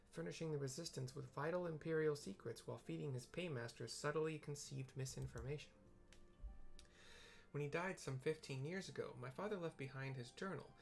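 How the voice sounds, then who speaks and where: A man voicing an earnest woman who leads a secret order in a fantasy game, speaking in measured, formal sentences. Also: fairly high pitch, creaky.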